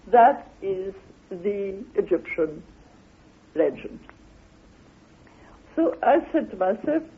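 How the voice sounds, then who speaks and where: A woman tells of an expedition at a slow, halting pace, leaning on her words. Her high voice has a husky grain. It comes through a thin, band-limited old radio recording. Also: narrow, tinny audio. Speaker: explorer and theorist recounting her expedition. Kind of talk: spoken anecdotal account of an expedition.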